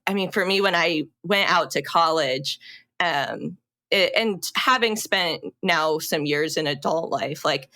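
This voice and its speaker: A young adult woman, a podcast guest, telling her own story in a halting, reflective way with many fillers and restarts. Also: lowish voice, bright, forceful tone. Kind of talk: personal storytelling in a podcast interview.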